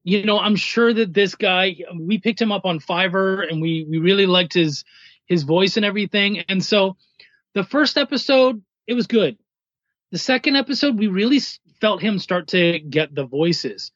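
A man's voice: high voice, animated, moderate pace, fairly bright tone, no room sound, lo-fi sound. A man talking casually and enthusiastically as a writer guesting on a podcast. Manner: enthusiastic, informal. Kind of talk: casual chat.

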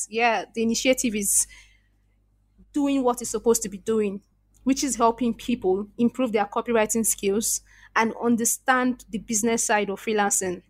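A Nigerian woman speaks as a podcast guest, her voice sitting a little higher than most. She tells her story earnestly and reflectively, often restarting her sentences. The recording is dry and close, with no room sound. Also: no echo. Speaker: podcast guest, freelance copywriter. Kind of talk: casual interview conversation.

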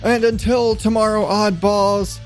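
A man's voice: high-pitched, fairly even intonation, measured pace, faint music behind. A man hosting a solo podcast, chatting casually in a rambling, self-deprecating way with some casual swearing. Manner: casually profane. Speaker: podcast host.

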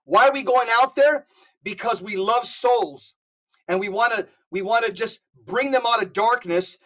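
A man speaks as a podcast guest, firm and preachy. He stresses his points and slows right down when he spells out details. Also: extremely high pitch, a bit bright. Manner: preachy and emphatic, spells things out slowly. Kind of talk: podcast conversation.

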